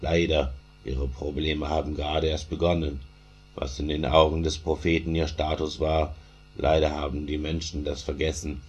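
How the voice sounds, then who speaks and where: A man's voice: very deep voice, flat delivery, moderate pace, slightly rough. A man reciting a mourning lament in a chanting delivery, returning again and again to a repeated refrain.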